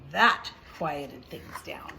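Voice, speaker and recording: A woman's voice: deep voice, big pitch swings, extremely slow, vocal fry, slight background noise, rough recording. A woman reading a picture book aloud, with chatty asides and questions as if to a young child. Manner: conversational, explaining to a young child, gentle questions. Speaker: storybook reader.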